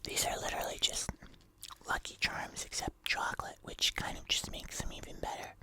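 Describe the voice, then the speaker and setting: An ASMR creator whispering softly and unhurriedly in a breathy, unvoiced voice, chatting casually close to the microphone during a food tasting. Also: moderate pace.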